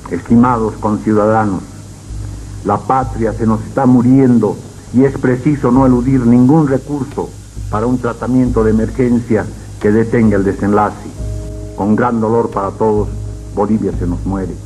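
An actor playing a solemn, grave president giving a formal, oratorical address to the nation. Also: male voice, on the low side, fairly even intonation, moderate pace, warm tone, faint music behind, natural voice, low-quality recording. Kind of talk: formal political speech.

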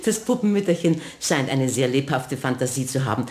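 A polite, patronizing shop assistant. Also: female voice, rumbling low, fairly quick, roomy sound. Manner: politely patronizing, lively intonation. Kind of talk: radio play acting.

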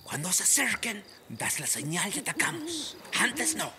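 A woman's voice: mid-range voice, sing-song, unhurried, very noisy. A woman acting a bossy, scheming pirate who gives urgent instructions in a radio play. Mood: urgent, commanding. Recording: clear night ambience of crickets, owls.

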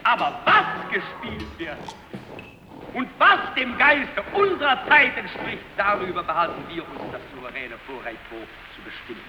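A fanatical propaganda minister orating in a radio play. His high voice swoops emphatically through pompous declamation, echoing under loud music. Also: sing-song, normal speed. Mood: fervent. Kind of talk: rally speech in a radio drama.

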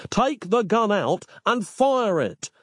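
A man's voice: fairly high pitch, very animated, moderate pace, darkish tone, dry recording, studio quality, voice pushed up. Man doing a brash, exasperated modern guy. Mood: furious. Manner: blunt, impatient.

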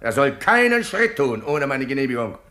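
An actor playing a cruel, bombastic rebel captain in a theatrical radio play, with a bellowing, pompous, menacing delivery. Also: male voice, mid-range voice, sing-song, normal speed, bright, forceful tone. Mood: scornful, vengeful.

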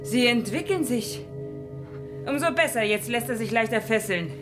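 An actress playing a brisk, bossy, cold-blooded woman with a clipped, sardonic manner in a radio thriller. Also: on the high side, expressive, close to an everyday voice. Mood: mocking, cold. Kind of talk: German radio thriller acting. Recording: music bed behind voice.

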